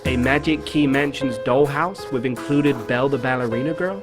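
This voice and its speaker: A man playing a reflective, gently wry small-town man who narrates his own story in a video game. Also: mid-range voice, normal speed, lightly acted. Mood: wry musing. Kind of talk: first-person game narration. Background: background music.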